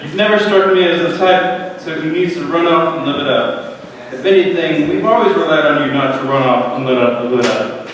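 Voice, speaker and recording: A man's voice: a little high, brisk, lightly acted. A man acting brash young son, echoing hall. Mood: alarmed, petulant. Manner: theatrical, exaggerated, emphatic. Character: brash, selfish, restless young prodigal son. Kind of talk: dramatic reading of a parable. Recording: roomy echoing hall, distant microphone.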